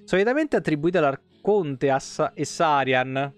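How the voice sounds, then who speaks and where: A man's voice: on the high side, normal speed, smooth. A man, reading game lore aloud expressively with casual asides as a streamer. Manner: expressive reading, breaking off for informal comments. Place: small indoor room, streaming setup. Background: plucked guitar music.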